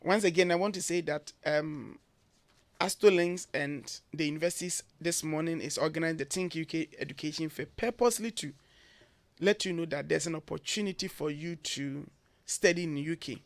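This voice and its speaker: A man's voice: fairly high pitch, normal speed, no room sound. A man from Ghana with a Ghanaian accent, a guest on a morning radio show, giving earnest advice point by point. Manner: earnest, emphatic, lists points. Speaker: guest, education agency head. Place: radio studio.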